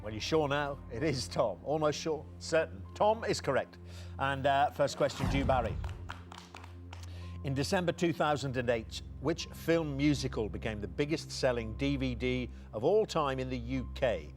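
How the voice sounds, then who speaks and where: A man hosting a television quiz in a brisk, upbeat manner. His voice sits at an ordinary middle pitch, and his delivery is lively as he reads out the questions. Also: soft background music. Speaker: quiz show host.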